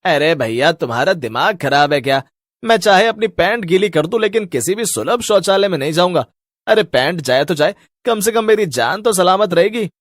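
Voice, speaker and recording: A man's voice: sits high, expressive, normal speed, no room sound, studio quality. A man voicing a superstitious, nervous fellow who protests with agitation in a Hindi cartoon story. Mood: defiant, nervous.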